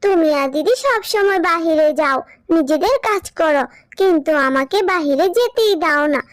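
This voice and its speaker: A woman's voice: very high voice, lively intonation, normal speed, no echo, studio quality. A woman voicing a stubborn, whiny little fairy girl in a children's cartoon, childlike and insistent. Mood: pleading. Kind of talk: cartoon character acting.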